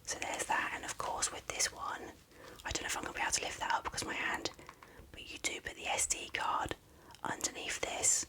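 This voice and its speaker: ASMR unboxing host: whispers throughout, gentle, rambling, in no hurry. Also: fairly quick, poor audio. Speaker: video host showing an unboxing.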